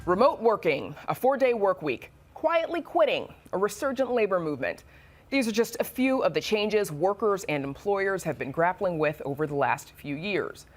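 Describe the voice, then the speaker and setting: A woman with an American accent reading scripted news and hosting studio interviews in a crisp, measured broadcast delivery. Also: mid-range voice, very animated, faint music behind. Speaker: news anchor and host.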